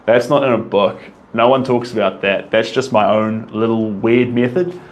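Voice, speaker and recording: A man's voice: lowish voice, steady tone, moderate pace. A young man with a New Zealand accent, an artist casually answering viewer questions, rambling enthusiastically with frequent ums and restarts. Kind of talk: casual Q&A monologue.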